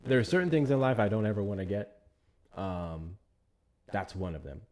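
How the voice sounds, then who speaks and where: A man's voice: fairly low pitch, expressive, moderate pace, a little mellow. A man hosting a live stream, chatting loosely with viewers, thanking them for gifts and repeating himself as he talks. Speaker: livestream host. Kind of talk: casual live-stream commentary.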